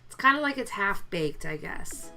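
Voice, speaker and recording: A woman commenting casually on a mobile game as she plays, her voice deepened and gravelly from a chest cold, chatty with many fillers. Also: expressive, moderate pace, bright, forceful tone, soft background music. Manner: chatty, mildly complaining, lots of fillers. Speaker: let's play gamer.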